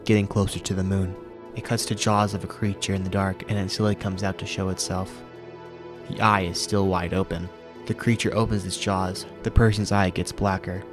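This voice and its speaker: A man hosting a video review, narrating and commenting from a script. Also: fairly low pitch. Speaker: YouTube host reviewing horror videos.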